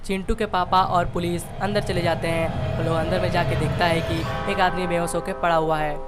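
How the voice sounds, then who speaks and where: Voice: mid-range voice, flat delivery, normal speed. A narrator reading a scripted cartoon story. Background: background music.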